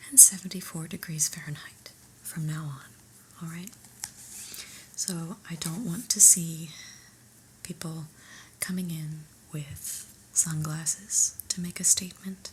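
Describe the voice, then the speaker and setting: A woman whispering an ASMR roleplay as an unimpressed new office boss, deliberate, mildly stern and deadpan, in a breathy voice. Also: lowish voice, a little hiss or hum, poor audio.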